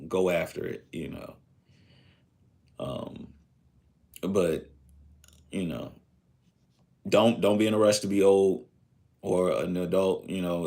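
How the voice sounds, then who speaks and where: A man's voice: low-pitched, darkish tone. A man in his thirties chatting casually on a live stream, rambling and reflective, with lots of fillers. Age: 30s.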